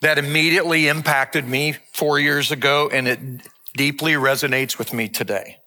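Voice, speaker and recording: Man, sixties, pastor preaching, slightly high voice, bright tone, earnest and measured. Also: steady tone. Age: 60s. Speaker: lead pastor. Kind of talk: sermon and farewell announcement.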